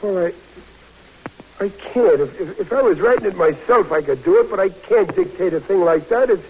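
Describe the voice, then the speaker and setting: Stammering, heartsick young American soldier. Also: male voice, sits high, expressive, moderate pace, darkish tone, dull audio. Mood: bashful, troubled. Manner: stammering, deferential, folksy. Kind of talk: old-time American radio drama acting. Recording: noisy old radio recording.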